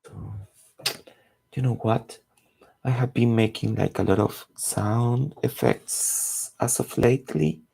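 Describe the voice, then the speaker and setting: A man in his forties from Costa Rica, a native Spanish speaker with a Costa Rican accent and a gravelly, husky voice, chatting casually and searching for words as he draws. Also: mid-range voice, steady tone, slow, warm tone, a little hiss or hum, low-quality recording. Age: 40s. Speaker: comic artist streaming his drawing. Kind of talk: casual chat while drawing.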